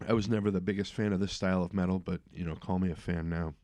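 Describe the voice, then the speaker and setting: A middle-aged man hosting a podcast interview, talking casually and relaxed with lots of "uh" fillers. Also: low voice, quick pace, no echo. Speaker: podcast host.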